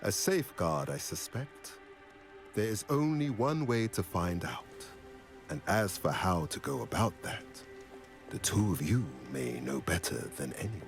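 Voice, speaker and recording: A wise, stately centaur seer from a video game. His low voice rises and falls expressively as he speaks in formal, measured, cryptic phrases. Music plays underneath. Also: big pitch swings, full character voice. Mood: calm, formal. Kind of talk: dramatic video game cutscene acting.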